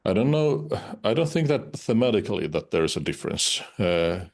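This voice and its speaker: A man's voice: on the low side, very animated, moderate pace, a little mellow. A man whose first language is Finnish, speaking English in a casual interview, hesitant, with many uhs and restarts. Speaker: interviewed musician.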